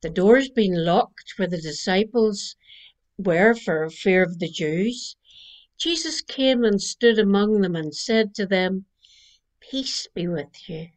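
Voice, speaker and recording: An older woman minister from Northern Ireland giving a gentle Christmas Eve reflection from her living room, speaking into a webcam. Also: mid-range voice, expressive. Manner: slow, gentle preaching cadence. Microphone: webcam at desk distance.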